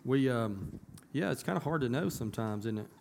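A hoarse man, a pastor talking to his congregation in a casual, folksy way that turns emphatic. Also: mid-range voice, expressive, brisk, a little mellow, a little hiss or hum. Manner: folksy, conversational, rising to emphatic. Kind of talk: casual church announcements and sermon. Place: church sanctuary.

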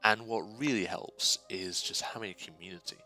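A man's voice: deep voice, normal speed, sharp tone, faint music behind. A man speaking as a guest writer, giving an informal, enthusiastic testimonial.

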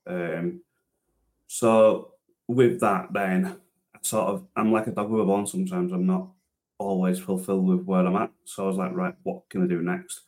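A man's voice: low-pitched, little pitch movement, moderate pace, a little mellow. A man, a transport manager chatting casually as a podcast guest, rambling with many restarts. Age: 30s. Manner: rambling, many restarts and fillers, self-deprecating. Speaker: interview guest, transport manager and driver trainer.

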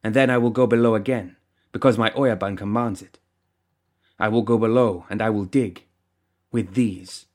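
A man voicing a fierce, resentful woman, a rail-worker rebel bound by duty, with a stiff, forceful delivery in an audiobook. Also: mid-range voice, moderate pace, natural voice. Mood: bitter fury. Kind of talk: audiobook character acting.

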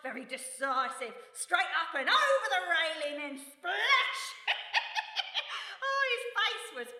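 An actress playing a brash, ruthless London smuggler with a cheeky, slangy manner in a radio play. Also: female voice, very high voice, very animated, slow pace, bright, forceful tone, heavily acted. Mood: gleeful, mocking. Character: brash, gleefully ruthless female Cockney smuggler. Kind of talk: radio play acting before a live audience. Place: stage in a hall with live audience. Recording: echoey hall, live stage recording.

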